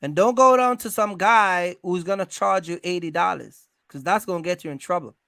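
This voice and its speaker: A man hosting an animated advisory conversation about business, speaking emphatically, repeating himself and often checking in with questions. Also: high voice, moderate pace, gravelly, clear, resonant tone, no room sound, studio quality. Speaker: host giving business advice to truck owners.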